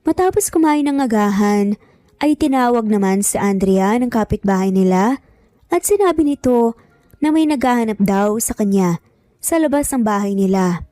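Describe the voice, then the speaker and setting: Dark-toned, higher-pitched Filipino woman narrator. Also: expressive, normal speed, dry recording. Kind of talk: dramatic scripted story narration.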